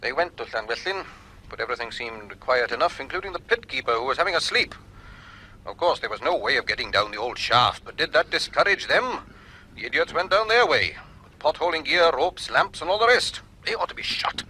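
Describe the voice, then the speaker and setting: An actor playing an excitable, blustering university lecturer in a radio drama, speaking emphatically. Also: male voice, medium pitch, brisk, slight background noise, poor audio. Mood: exasperated.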